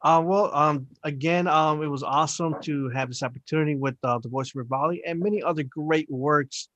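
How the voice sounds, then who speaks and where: A forty-year-old man hosts a casual podcast, thanking his guest in loose, filler-heavy sentences. His voice sits a little high and sounds close and dry, with no room around it. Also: a little fast, a bit bright, dry recording, muffled recording. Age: 40s. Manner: rambling, filler-heavy, upbeat. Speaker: podcast host. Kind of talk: casual video-call podcast chat.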